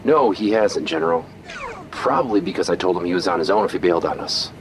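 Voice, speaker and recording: A man's voice: low voice, fast, lightly acted, low-quality recording. A man playing a sardonic billionaire hero. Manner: sardonic, commanding. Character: cocky male billionaire hero leader.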